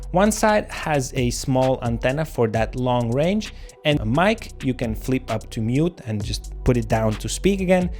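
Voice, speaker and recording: A man's voice: mid-range voice, darkish tone. A man hosting a product review, talking viewers through the details in a conversational, explanatory way. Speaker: gear reviewer and filmmaker, channel host. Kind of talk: casual scripted product review to camera. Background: background music.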